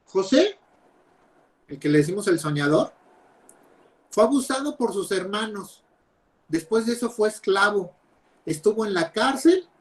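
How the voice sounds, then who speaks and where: A young man preaching a sermon over a video call, emphatic, with loud stresses and quiet drops. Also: sits high, animated, a little slow, long pauses. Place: home, speaking over videoconference.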